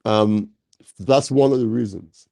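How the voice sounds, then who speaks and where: Man host with a bit of a cold, nasal, low dark voice, dry close sound, lots of ums. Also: vocal fry, no room sound. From Caribbean. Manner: slow, warm welcoming address with many fillers. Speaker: talk show host. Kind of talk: hosting a live talk program.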